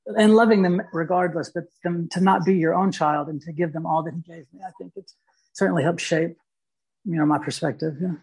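A man from Mississippi, a guest artist talking thoughtfully in an interview, pausing and restarting as he searches for words. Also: fairly high pitch, steady tone, vocal fry, darkish tone, husky, rough recording. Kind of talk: reflective interview talk.

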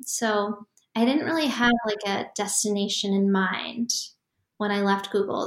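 A woman who founded a startup answers podcast interview questions in a calm, even-keeled, reflective way. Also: medium pitch, echoey room.

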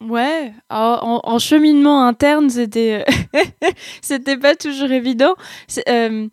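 A young woman, a singer-songwriter, answering interview questions in a relaxed, halting way, with many fillers and restarts. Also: high-pitched, no echo, clean studio sound.

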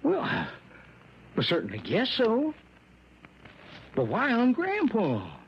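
A man's voice: very high-pitched, wildly swooping pitch, slow pace, heavily acted. An American man playing a folksy, hesitant small-town policeman who sounds deferential but uneasy, in old radio drama style. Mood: uneasy, evasive. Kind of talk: 1950s-60s American radio drama acting. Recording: dull, noisy old radio recording.

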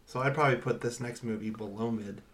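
A man's voice: medium pitch, quick pace. A man co-hosting a podcast, chatting informally and bluntly about films. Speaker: podcast co-host. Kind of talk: casual movie review chat.

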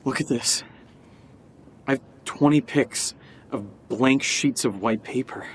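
Actor as a blunt, exasperated man, medium pitch. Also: slow pace, natural voice. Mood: alarmed, bewildered. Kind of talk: horror audio drama acting. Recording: clearly noisy background ambience.

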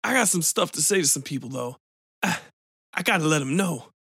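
A man voicing a bitter, weepy man with a smoker's rasp who pleads and breaks into tears. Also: a little high, expressive, a little fast, sharp tone, no echo, clean studio sound, exaggerated voice. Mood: pleading.

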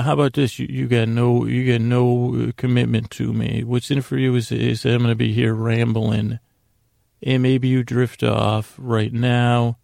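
Man, deep vocal fry, dry recording, meandering self-interrupting bedtime monologue, podcast host. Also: a little mellow, no room sound.

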